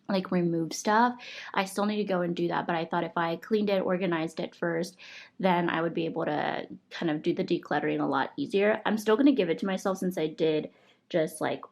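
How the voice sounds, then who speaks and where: Stuffy-nosed young woman vlogging, lowish voice, upbeat and chatty, lots of "like". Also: creaky. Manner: upbeat, chatty, thankful, many fillers like "like". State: congested from a cold. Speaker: vlogger reviewing monthly goals. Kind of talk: casual talk to camera.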